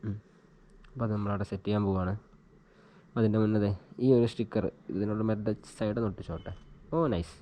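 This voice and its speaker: A man with a fairly low voice and a dark, relaxed tone. He talks like a casual vlogger showing a practical job, informally thinking aloud as he works. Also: brisk, pauses often. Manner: informal, thinking aloud while working with his hands. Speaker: vlogger giving a hands-on tutorial. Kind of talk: casual chat while demonstrating an installation. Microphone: action camera mounted on a helmet he wears.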